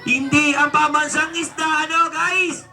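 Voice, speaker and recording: A puppeteer hosting a live comedy quiz for children, exclaiming and mock-scolding in an animated way. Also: sits high, unhurried, soft background music. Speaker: puppeteer and children's party host.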